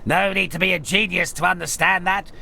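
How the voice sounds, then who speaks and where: Wicked cartoon alchemist, gravelly, piercing, sarcastic, impatient, game villain. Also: male voice, sits high, fairly even intonation, a little fast, bright, forceful tone, a little hiss or hum, full character voice. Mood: scheming. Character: irritable, sarcastic evil male alchemist. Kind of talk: video game cutscene acting.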